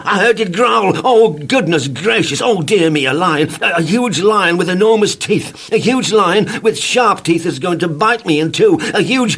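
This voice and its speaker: A man's voice: sits high, fairly bright tone, voice pushed up, exaggerated voice. A shrieking, panicky little man. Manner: shrieking, stammering, melodramatic. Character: panicky nervous little man who screams at everything.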